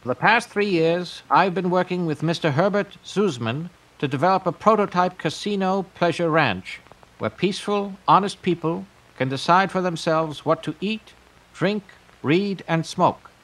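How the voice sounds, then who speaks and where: A man playing a grandiose, eccentric tycoon pitching his pleasure empire in a declamatory audio drama monologue. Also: fairly bright tone, rough recording.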